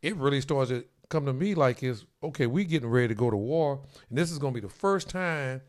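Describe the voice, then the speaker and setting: A man's voice: mid-range voice, lively intonation, fairly quick. A middle-aged man hosts a sports talk show in the studio. His voice sits in an ordinary middle range, and he rambles, circling back and repeating words as he talks.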